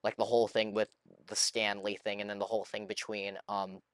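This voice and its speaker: A man reacting casually on a game livestream, speaking earnestly and a little haltingly as he gathers his thoughts. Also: lowish voice, level tone, a bit bright, no room sound. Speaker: game streamer.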